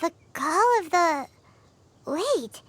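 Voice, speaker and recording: A woman voicing a small, excitable childlike fairy companion in a video game, with a squeaky voice and a questioning, dramatic manner. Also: very slow, slight background noise, full character voice. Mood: puzzled. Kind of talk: English video game voice acting.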